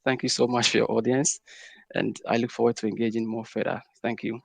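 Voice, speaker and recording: A young man gives a conference presentation in a gravelly voice, rambling loosely from point to point. The recording is dry, with no room sound around him. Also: medium pitch, flat delivery, a little fast, dry recording. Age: young adult. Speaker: panel presenter.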